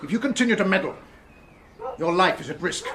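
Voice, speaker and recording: An actor playing a mocking, cold-blooded master criminal in a radio drama, urbane and condescending. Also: male voice, high voice, lively intonation, fairly quick, background noise. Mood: quiet menace.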